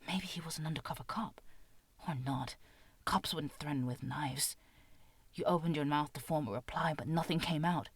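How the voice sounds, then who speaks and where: A woman narrating fiction as an amateur audiobook reader, with an expressive, theatrical delivery. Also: low voice, moderate pace, breathy edge, no echo. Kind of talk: dramatic scripted narration of fan fiction.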